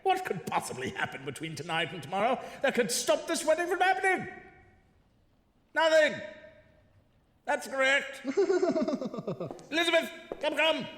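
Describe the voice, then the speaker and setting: A man playing a pompous, jovial older gentleman in a comedic gothic horror audio drama, hearty and old-fashioned in manner. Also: very high-pitched, very animated, measured pace, long pauses, heavily acted. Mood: jovial, blustering. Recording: echoey reverberant room with background noise.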